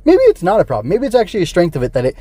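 A man's voice: high-pitched, huge pitch swings, racing, warm tone, no room sound. A middle-aged man hosting a solo podcast, talking casually and rambling with digressions. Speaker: podcast host. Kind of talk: casual solo review chat.

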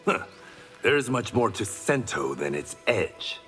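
An actor playing a proud, calm blind swordsman in a fighting game, making measured, defiant threats. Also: mid-range voice. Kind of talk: fighting game character dialogue. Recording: game music behind the voice.

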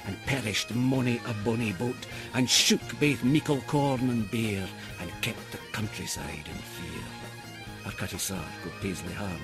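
A man reciting a narrative poem theatrically, swinging from loud outbursts to hushed whispers. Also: lowish voice. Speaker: poetry reader. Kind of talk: dramatic poetry recitation.

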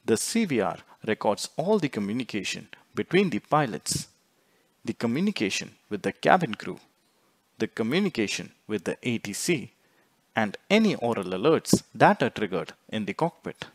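A man reading scripted instructional narration in a measured, explanatory way, phrase by phrase. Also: fairly low pitch, sing-song, no room sound. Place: close, dry voice-over recording.

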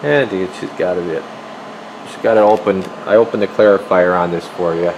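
Guy tinkering in his radio shop, kinda low voice, talks to himself, noisy hum behind him. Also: moderate pace. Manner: informal, muttering to himself as he works. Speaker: radio repair technician, video host. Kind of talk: casual hands-on workbench demonstration. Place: indoor radio workshop at an electronics bench. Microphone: handheld camera, behind the lens. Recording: noisy room with steady equipment hum.

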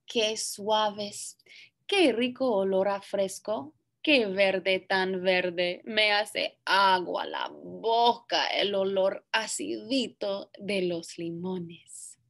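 A young woman reading a picture book aloud to children, expressive and deliberate in her phrasing. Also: mid-range voice, gravelly. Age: young adult. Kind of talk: children's story read-aloud with brief asides. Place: small indoor classroom.

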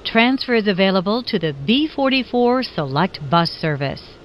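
A woman's voice: mid-range voice, very animated, moderate pace, slight background noise. A woman reading scripted transit announcements as a train announcer. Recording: dull, lo-fi announcement recording.

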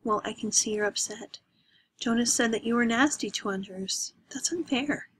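A woman voicing a gentle, wistful middle-aged mother who speaks softly and tenderly. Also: fairly high pitch, normal speed, slight background noise, close to an everyday voice.

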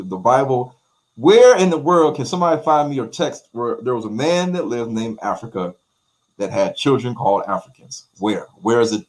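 A man's voice: medium pitch, rough recording. A middle-aged man as an interview guest on a video call, talking emphatically with repeated words and rhetorical questions. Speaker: documentary maker, guest. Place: bright room with light walls.

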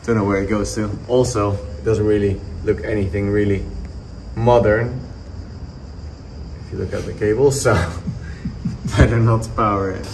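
Low-voiced young man vlogging casually. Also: steady tone, unhurried. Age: young adult. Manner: informal, explanatory. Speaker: vlogger renovating a villa. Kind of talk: casual vlog narration to camera. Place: outdoors in an overgrown garden, some indoors. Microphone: handheld phone at arm's length. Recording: outdoor phone audio with insect noise.

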